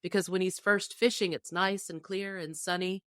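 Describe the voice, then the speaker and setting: A woman podcast panelist casually discussing a folk tale, telling it in an expressive storytelling way. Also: on the low side, normal speed, smooth, no echo, studio quality.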